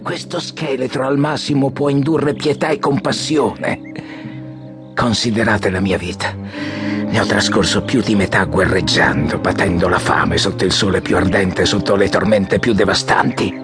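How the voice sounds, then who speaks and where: An actor playing a weary, embittered, ailing general in an Italian audio drama, theatrical, resigned and testily commanding. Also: male voice, on the low side, moderate pace, music in the background, lightly acted. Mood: weary, bitter.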